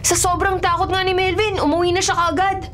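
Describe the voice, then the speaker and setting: A woman's voice: very high-pitched, flat delivery, fairly quick, fairly bright tone. A woman playing a frightened, curious young boy in a radio horror drama, with an earnest, pleading childlike manner. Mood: anxious, pleading. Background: background music.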